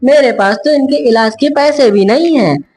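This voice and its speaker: A woman's voice: high-pitched, moderate pace, dark tone, lightly acted. A woman playing a poor, tender-hearted village husband in a cartoon moral story, speaking in an earnest way. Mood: worried, lamenting. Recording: music behind the voice.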